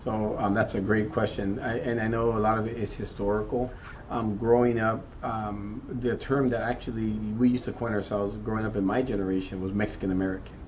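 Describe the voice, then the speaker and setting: A man from South Texas answering interview questions thoughtfully, often repeating words and pausing with "um" as he searches for the right term. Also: on the low side, level tone, a little mellow. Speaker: school district equity chief. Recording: muffled, distant, faint background noise.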